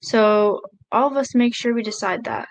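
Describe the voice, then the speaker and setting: A woman voicing a live sign language interpretation of a speech, halting, with pauses and self-corrections. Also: fairly high pitch, rough recording.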